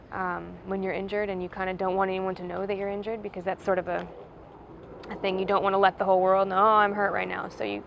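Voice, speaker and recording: A woman's voice: mid-range voice, creaky, a little hiss or hum. A young woman who works as a stunt double, answering interview questions casually outdoors on a patio.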